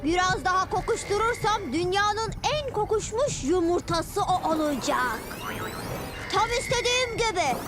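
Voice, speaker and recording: A woman's voice: extremely high pitch, animated, unhurried, pauses often. A woman voicing a scheming, boastful child mad scientist in a cartoon, with a theatrical, taunting delivery. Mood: gloating. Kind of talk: cartoon dub acting. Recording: loud music behind the voice.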